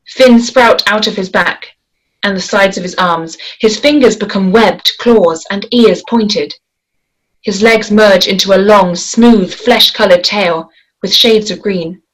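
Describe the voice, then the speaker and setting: A woman's voice: medium pitch, slow, low-quality recording. A woman reading stage directions as scripted narration at a table read. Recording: faint noise and clicks.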